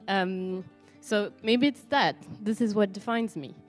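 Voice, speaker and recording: A woman's voice: medium pitch, slow, faint music behind. A woman from Paris with a French accent, speaking a reflective personal monologue and asking herself questions aloud. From Paris, France. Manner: thoughtful, asks herself questions.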